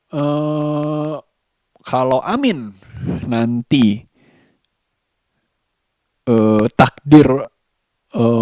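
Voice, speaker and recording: A man talking in a casual daily vlog, reflective and halting, pausing mid-sentence. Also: medium pitch, dark tone, no room sound. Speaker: vlogger.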